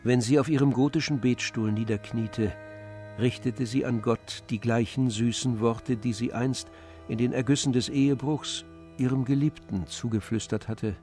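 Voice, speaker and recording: Male narrator, radio drama, scripted literary reading, measured delivery, mid-pitched voice, faint music behind. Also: fairly even intonation, warm tone.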